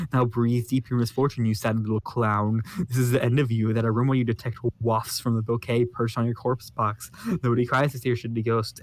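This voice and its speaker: A man's voice: medium pitch, a little mellow, lightly acted. A man voicing an abrasive, foul-mouthed young male troll who rants pompous insults, reading a comic aloud. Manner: insulting, ranting, pompous wordplay. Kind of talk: comic read-along voice acting.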